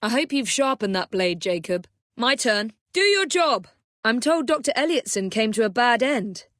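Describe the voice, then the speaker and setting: A young Victorian English assassin from a video game, confident and commanding. Her voice sits high and moves with lively, swooping intonation. The delivery is brisk, dryly witty and well-mannered, recorded close and dry. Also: no room sound. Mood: dry, calm. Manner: crisp, commanding, wry, polite.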